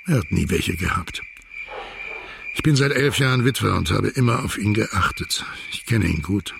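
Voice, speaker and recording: A man's voice: fairly low pitch, lively intonation, normal speed, vocal fry, noisy background. An actor playing a stern, middle-aged widowed businessman and exhausted father in a radio crime drama, speaking formally, bluntly and with tight control. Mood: bitter, controlled.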